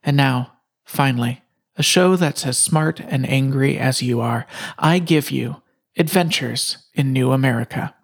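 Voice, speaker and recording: A man presenting a podcast in a scripted, measured introduction. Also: medium pitch, vocal fry.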